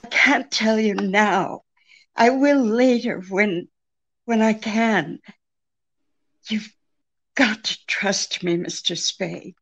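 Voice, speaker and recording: An actress playing a secretive, seemingly helpless femme fatale client in an old-time radio mystery, halting and pleading with a throb in her voice. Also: mid-range voice, fairly bright tone, lo-fi sound. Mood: desperate pleading. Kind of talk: old-time radio detective drama re-creation.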